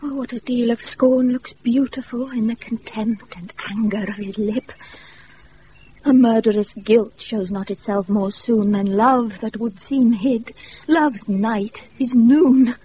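Ardent lovesick countess, played by an actress, slow-spoken. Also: female voice, fairly high pitch, fairly even intonation, dark tone, close to an everyday voice. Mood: ardent, pleading. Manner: declamatory, ardent. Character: proud young countess, passionately lovesick. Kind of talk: classical Shakespearean radio acting. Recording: muffled, noisy off-air radio recording.

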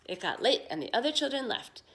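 Animated, high-voiced woman reading to children. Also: fairly quick. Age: middle-aged. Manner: animated, emphatic delivery for young children. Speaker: storyteller for children. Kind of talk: expressive children's picture-book read-aloud. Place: living room at home.

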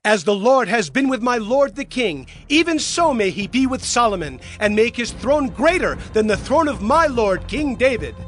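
A man's voice: very high voice, very animated, normal speed, hardly any pauses, fairly bright tone, heavily acted. An actor playing a fervent, loyal servant of a king, declaiming in a dramatized audio Bible.

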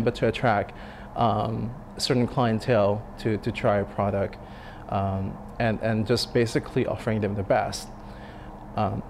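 Low-voiced chef, halting and thoughtful. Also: male voice, darkish tone, a little hiss or hum. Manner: thoughtful, halting, many fillers and restarts. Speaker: restaurant executive chef. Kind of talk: sit-down interview. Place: dim restaurant dining room. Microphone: lapel mic.

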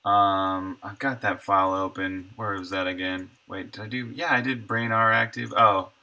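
Guy with a low but bright voice, casually muttering through his work in little fragments on a stream. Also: fairly even intonation, normal speed, slight background noise. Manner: half to himself, in short fragments. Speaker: indie game developer live streaming. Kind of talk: casual think-aloud commentary while working.

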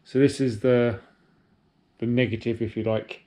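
Slow-talking man filming his boat work. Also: medium pitch, lo-fi sound. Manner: relaxed, thinking aloud with fillers. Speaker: sailing vlogger. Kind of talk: casual vlog narration. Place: on the water by a boat hull, then in a workshop. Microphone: action camera. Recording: faint outdoor background noise.

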